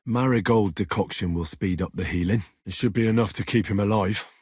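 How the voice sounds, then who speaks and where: A man's voice: on the low side, a little fast, darkish tone, breathy edge, no echo, clean studio sound. An actor playing an earnest, reassuring young medieval man tending the sick in a video game, speaking plainly and practically. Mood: calm, advising. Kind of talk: video game character dialogue.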